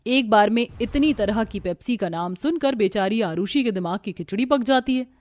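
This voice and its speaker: A woman giving scripted narration for an animated story. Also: mid-range voice, moderate pace.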